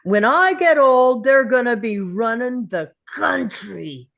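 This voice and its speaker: A woman takes on a weary older male schoolteacher in a script read, full of indignant complaint. The delivery is unhurried, with pitch that moves around in a lively way. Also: gravelly, muffled recording, exaggerated voice. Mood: worried, earnest. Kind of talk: table read of a film script.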